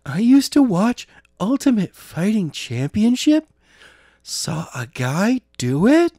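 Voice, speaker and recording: A man's voice: high voice, very animated, slow pace, dark tone, dry recording, clean studio sound, pitched above the performer's own voice, heavily acted. A man voicing a determined, competitive young woman training in martial arts, earnest and exclaiming, in a storybook reading. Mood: eager, competitive.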